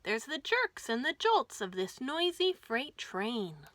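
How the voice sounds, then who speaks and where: A woman voicing a weary, wistful female caboose who longs for quiet in a children's storybook. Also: fairly high pitch, very animated, slow pace, slight background noise, heavily acted. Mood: complaining. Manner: sighing, complaining. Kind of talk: children's storybook read-aloud.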